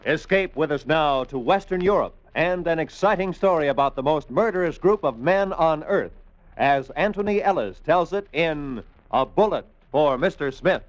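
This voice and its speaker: A man's voice: on the high side, expressive, moderate pace, dull audio. A man giving a dramatic scripted introduction as a 1940s radio drama announcer. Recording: old radio broadcast with music. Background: music.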